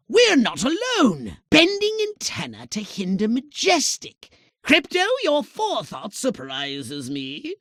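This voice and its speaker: A man voicing a haughty, scheming little alien commander in a video game, with a shrill nasal voice and pompous, sardonic, wordy delivery. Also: pushed and bright, dry recording, clean studio sound, full character voice. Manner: pompous, verbose, sardonic, exclaiming dramatically. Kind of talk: theatrical video game voice acting. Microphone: close, dry studio microphone.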